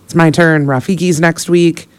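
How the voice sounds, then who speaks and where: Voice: mid-range voice, steady tone, moderate pace, smooth, no echo. Bubbly joking radio host. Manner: bubbly, joking, self-correcting. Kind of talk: casual on-air chat. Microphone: studio microphone.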